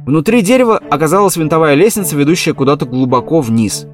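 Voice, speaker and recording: A male narrator tells a whimsical animal tale with a lively, animated delivery. His voice sits a little higher than most men's. Soft music plays underneath. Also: fast talker. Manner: lively, playful storytelling. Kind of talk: storytelling narration.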